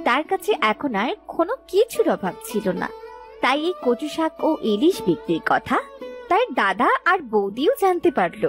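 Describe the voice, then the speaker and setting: A woman's voice: high voice, very animated, moderate pace, soft background music. A woman narrator reading a scripted cartoon story.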